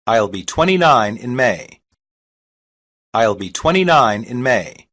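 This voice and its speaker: A reader saying short English practice sentences slowly and evenly, each one twice, for a language lesson. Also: mid-range voice, very animated, fairly bright tone. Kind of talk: slow repeated phrase reading.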